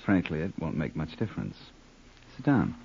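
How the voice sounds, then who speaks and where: An American actor playing a cool, cultured hired killer in an old radio crime drama, unhurried, musing and dryly polite. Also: male voice, sits low, very animated, creaky, over music, full character voice. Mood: calm, politely menacing. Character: cool, cultured hired killer who loves classical music. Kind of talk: 1940s-50s radio crime drama acting. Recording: dull old-time radio recording.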